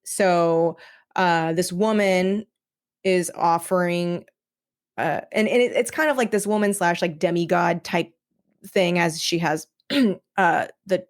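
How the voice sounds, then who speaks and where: Woman guest thinking aloud, slightly low. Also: fairly even intonation, takes it slowly. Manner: loose, many "like"s, thinking aloud. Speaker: podcast guest, audio drama writer. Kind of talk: casual podcast chat.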